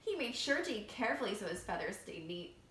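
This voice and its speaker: A woman reading a picture book aloud to children as a library storytime reader, expressive and engaging them directly. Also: mid-range voice, fast. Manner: expressive, asking young listeners questions. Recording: roomy echo, faint background noise.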